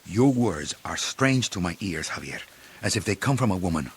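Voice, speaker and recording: An actor playing an impatient, proud rebel leader with a curt, commanding manner in a radio drama. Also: male voice, low-pitched, sing-song, normal speed, heavily acted. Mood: proud, insistent. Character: impatient, proud male rebel leader marching through jungle. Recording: clear insect and jungle ambience.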